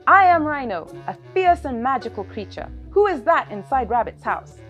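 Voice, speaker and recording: Woman as a fierce yelling rhino, swooping pitch. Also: sing-song, moderate pace, highs cut off, faint music behind. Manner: yelling, demanding. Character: fierce boastful magical male rhino.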